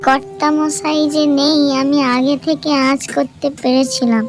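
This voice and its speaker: A woman's voice: high voice, level tone, measured pace. A woman playing a landlord's reproachful, sorrowful wife in a cartoon story, with a melodramatic manner. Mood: sorrowful, resigned. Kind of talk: Bengali cartoon story dialogue. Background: background music.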